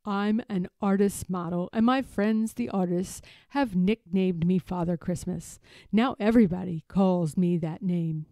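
A woman voicing a gently rueful, plain-spoken old man in a children's storybook. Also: lowish voice, unhurried, no room sound, voice pushed down.

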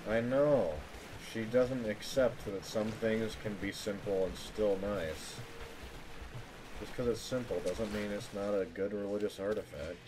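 A man's voice: lowish voice, a little slow. A man streaming a game with casual, playful, dryly joking live commentary. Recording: game sound and noise behind voice. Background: video game ambience.

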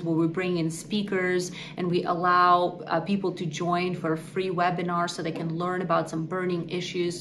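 A woman's voice: lowish voice, noisy background. A woman, speaking earnestly and articulately to camera in a prepared interview. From Ukraine. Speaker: nonprofit executive. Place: indoor office against a wall.

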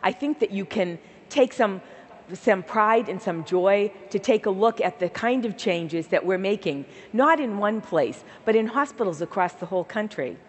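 A woman's voice: mid-range voice, animated, normal speed, slightly rough. A woman in her sixties with a slight Boston accent, giving an earnest keynote address on a large conference stage. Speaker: keynote speaker, organization leader. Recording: large hall reverb.

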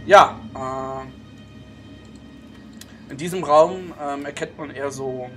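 Male game master, higher-pitched voice, casual and halting, prominent music underneath. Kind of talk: casual tabletop game narration. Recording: music playing behind.

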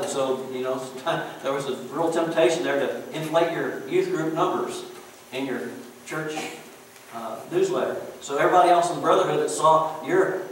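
A man's voice: medium pitch, a little hiss or hum. Middle-aged male pastor, homespun conversational sermon, echoey distant church room. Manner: folksy, conversational preaching with chuckles and "you know". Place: church sanctuary.

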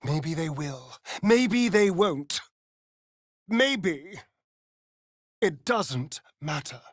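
An actor playing a sardonic, flamboyant, seductive vampire rogue in a fantasy video game, with an arch, theatrical drawl. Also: male voice, fairly high pitch, lively intonation, very slow, no echo, clean studio sound, full character voice. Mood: sly.

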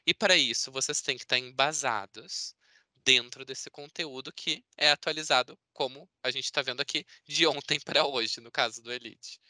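Very bright-voiced Brazilian man presenting. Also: medium pitch, moderate pace, dry recording. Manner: chatty, explanatory, often seeking confirmation with tag words. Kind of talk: conversational webinar presentation. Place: indoor room on a video call. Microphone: video call microphone.

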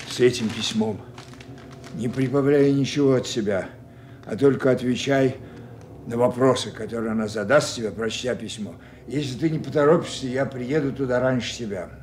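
An actor playing a proud, wounded old king in a radio tragedy, declaiming between hushed grief and thunderous anger. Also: mid-range voice, moderate pace, slight background noise, close to an everyday voice. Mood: brisk command. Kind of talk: classical stage tragedy acting in a radio play. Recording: echoey Soviet radio theatre recording.

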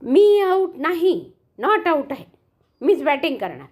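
A proud, quick-tempered little boy who insists loudly and won't back down, as a woman storyteller puts him on. His voice sits high and pushy in a bedtime story. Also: very animated. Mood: defiant. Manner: loud, insistent, defiant.

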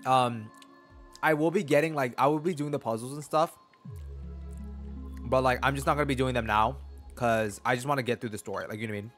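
Casual young male streamer, mid-pitched. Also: fairly quick, pauses often, fairly bright tone, faint music behind. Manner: slangy, chatty. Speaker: game streamer. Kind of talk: casual live-stream commentary.

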